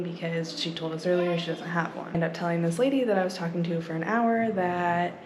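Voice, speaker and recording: Woman telling a story in an echoey room. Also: fairly low pitch. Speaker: travel vlogger, mother. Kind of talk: casual storytelling to camera. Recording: echoey room with faint background noise.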